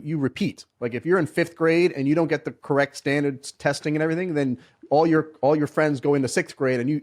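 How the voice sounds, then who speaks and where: Male co-host from Chile, rapid, hesitant speech. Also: a little high, a little mellow. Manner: hesitant, lots of restarts. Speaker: podcast co-host. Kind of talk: casual discussion.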